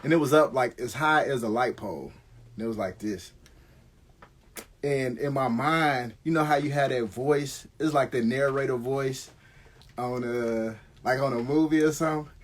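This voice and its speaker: A man's voice: medium pitch, husky. A middle-aged American man telling stories casually on a live stream, slangy and conversational, swearing freely.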